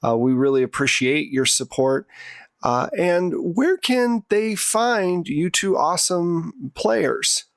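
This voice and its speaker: A man's voice: fairly high pitch, big pitch swings, slow, gravelly, no echo, studio quality. A man running a tabletop roleplaying game as host, narrating in a casual, conversational way. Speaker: game master and show host.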